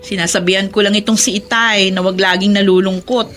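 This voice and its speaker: A woman acting a caring, worried adult daughter in a Filipino radio drama, earnest, respectful and gently scolding. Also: medium pitch, fairly even intonation, a little fast, slight background noise, close to an everyday voice. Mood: concerned, gentle.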